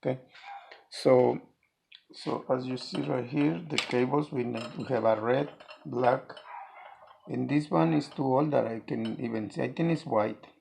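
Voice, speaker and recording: Very creaky-voiced man giving a halting DIY demonstration. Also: medium pitch, darkish tone, low-quality recording. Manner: halting, repeats words while working. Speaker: DIY tutorial presenter. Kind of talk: hands-on how-to demonstration, unscripted. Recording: clear background noise with clicks.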